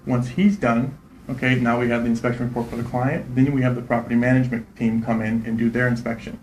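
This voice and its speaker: Fast-talking man in his thirties, echoey room. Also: medium pitch, steady tone, a little hiss or hum. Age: 30s. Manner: fast, confident, repeats "right?". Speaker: real estate investor. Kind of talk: interview answers.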